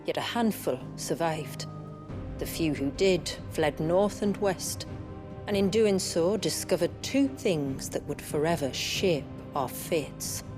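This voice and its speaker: An actress playing a solemn woman of a fantasy video game, recounting her people's history in measured, formal, archaic phrasing. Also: lowish voice. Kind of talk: dramatic video game storytelling. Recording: background music under the voice.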